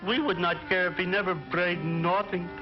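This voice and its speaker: A man's voice: high-pitched, fairly even intonation, normal speed, pitched above the performer's own voice, close to an everyday voice. A man voicing a worried, mournful father donkey. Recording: dull old record with music.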